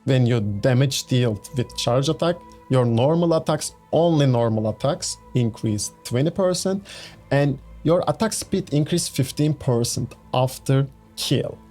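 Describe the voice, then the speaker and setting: A man talks through a game build on his channel, unhurried and easygoing. His voice is soft and mellow in tone. He circles back, restarts sentences and fills gaps with "you know what I mean", over faint background music. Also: medium pitch. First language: Turkish. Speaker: gaming channel host. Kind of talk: casual game build walkthrough.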